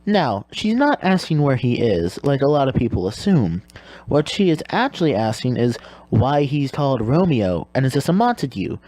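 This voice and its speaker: Animated scripted comic explainer host. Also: medium pitch, dry recording, poor audio. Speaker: segment host.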